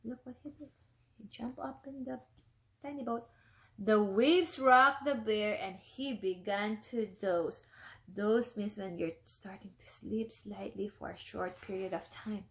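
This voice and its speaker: A woman's voice: mid-range voice, unhurried, fairly bright tone. A woman, a teacher, reading a picture book aloud to young children, often stopping to explain words and ask them questions.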